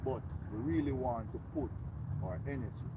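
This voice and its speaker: A young Jamaican man with a strong, carrying voice makes an earnest, rallying pitch to his neighbours at an outdoor meeting. His voice sits at a middling pitch for a man. Heavy road traffic rumbles loudly behind him. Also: normal speed, narrow, tinny audio. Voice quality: strong, loud voice. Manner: earnest, rallying, tags lines with "right?". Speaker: community organizer. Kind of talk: outdoor community meeting speech. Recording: loud traffic noise behind. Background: passing cars.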